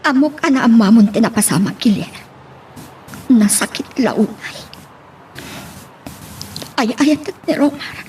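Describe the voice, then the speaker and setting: A woman's voice: on the high side, lively intonation, a little slow, pauses often, a little mellow, a little hiss or hum. A woman acting a hurt, self-sacrificing young woman in an Ilocano romance radio drama. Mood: hurt, sorrowful.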